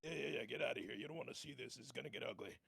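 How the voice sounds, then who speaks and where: A man's voice: mid-range voice, racing, creaky, fairly bright tone, slightly rough, no echo. A man playing a tabletop roleplaying game, improvising his character casually.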